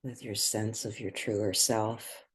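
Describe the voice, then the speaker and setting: A person guiding a meditation in a soft, breathy voice, speaking gently and soothingly with unhurried pauses. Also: medium pitch, flat delivery. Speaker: meditation guide. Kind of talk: guided meditation.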